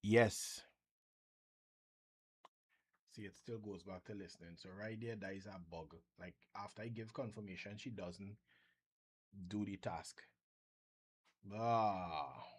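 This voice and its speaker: Husky-voiced Trinidadian man demoing code. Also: on the low side, unhurried. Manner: thinking aloud, restarts often. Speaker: software developer vlogger. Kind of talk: casual screen-share demo.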